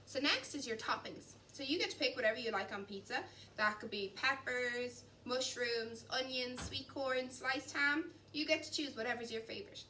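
A young woman with a bright, forceful voice talks viewers through a recipe in a chatty, encouraging way, keeping up the talk while she chops and mixes. She sounds a little high for a woman. She is recorded from across a large kitchen, with faint noise underneath. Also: normal speed, hardly any pauses. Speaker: cooking instructor. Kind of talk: cooking demonstration. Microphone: camera at a distance. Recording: distant mic, faint background noise.

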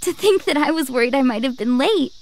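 A very high, sweet girlish voice for an anime-style visual novel heroine. She is eager and polite, with a timid, hesitant edge. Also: expressive, fairly quick. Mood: nervous, polite.

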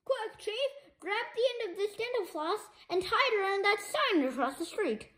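A woman's voice: very high voice, lively intonation, moderate pace, fairly bright tone, voice pushed up. A woman voicing a brave hero in a children's comic, rallying others in a rousing, urgent manner.

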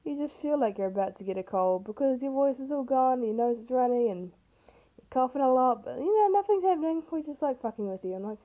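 Hoarse teenage girl chatting casually. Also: high-pitched, lively intonation, brisk, dark tone, lo-fi sound. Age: teens. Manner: chatty and self-deprecating, rambling with fillers. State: rough, hoarse voice. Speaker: art vlogger. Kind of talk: casual voice-over on a speed paint. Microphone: camera mic.

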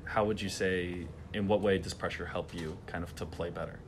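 Deep-voiced young man interviewing. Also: normal speed, noisy background. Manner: relaxed, friendly questions with frequent "kinda" and "mm-hmm". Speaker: tennis vlogger and interviewer. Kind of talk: casual interview and vlog sign-off. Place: press room at a tennis event, indoors.

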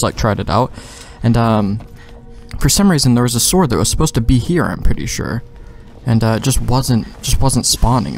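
A man's voice: fairly low pitch, darkish tone, a little hiss or hum. A man giving casual, rambling gameplay commentary with plenty of slang and swearing. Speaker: let's play gamer. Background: game music.

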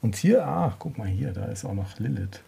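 A man with a very husky voice, commenting casually and knowledgeably, with some hesitation and tags seeking agreement. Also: lowish voice, expressive, moderate pace, dark tone. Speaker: author, podcast guest. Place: indoors in a museum.

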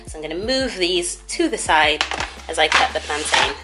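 A woman's voice: sits low, lively intonation, normal speed. A young woman casually narrating her cooking in a home kitchen, chatty and informal, with playful asides. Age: young adult. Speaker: cooking vlogger. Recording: music and frying sizzle behind voice. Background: music, sizzling food, stirring.

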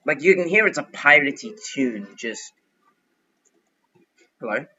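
Excitable young man narrating gameplay. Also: mid-range voice, unhurried, highs cut off, a little hiss or hum. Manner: excitable, chatty, thinks aloud. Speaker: gaming youtuber. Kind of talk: casual gameplay commentary.

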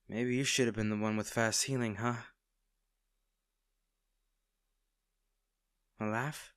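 A man's voice: medium pitch, no room sound, studio quality, close to an everyday voice. A man playing a tender, nervous villain in an intimate audio roleplay, stammering and hesitant as he speaks to the listener. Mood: gently teasing. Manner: hesitant, stammering, soft-hearted. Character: smitten masked male villain, nervous, tender, teasing.

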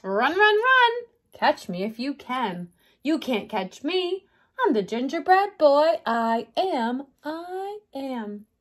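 A boastful little storybook gingerbread boy, played by a woman, high and swooping in pitch. He chants his taunts over and over, cheeky and sure that nobody can catch him. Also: very animated, slow pace, darkish tone, exaggerated voice. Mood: gleeful taunting.

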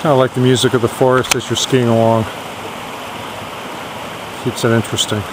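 A man's voice: mid-range voice, fairly quick. Guy vlogging his ski trip outside, easygoing and chatty, lots of wind hitting the camera mic. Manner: relaxed, chatty, admiring the scenery. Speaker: cross-country skier filming his outing. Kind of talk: casual outdoor vlog narration. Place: snowy forest and mountaintop outdoors. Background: wind and flowing water.

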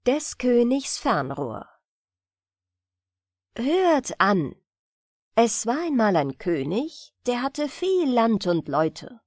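A woman narrating fairy tales as a bedtime audiobook in a measured, expressive storytelling manner. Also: on the high side, no echo, studio quality. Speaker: audiobook reader.

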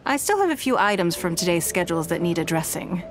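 A woman voicing a poised, shrewd businesswoman in a game, speaking in a composed, measured, elegant way. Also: lowish voice, sing-song. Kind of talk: game dialogue.

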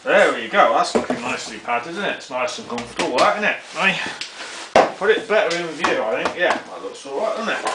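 A man's voice: a little high, expressive, a little fast. A British man giving a casual, jokey assembly commentary, muttering to himself as he works in a garage workshop. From United Kingdom. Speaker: vlogger. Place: indoors in a concrete-floored garage workshop. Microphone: camera mic a short distance away. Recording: roomy, distant mic, very noisy with clicks.

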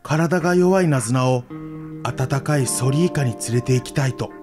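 An actor playing a gentle, devoted young Jomon-era village man in a radio drama. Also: mid-range voice, normal speed, natural voice. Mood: reminiscing. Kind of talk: radio drama acting with storytelling narration. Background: instrumental music.

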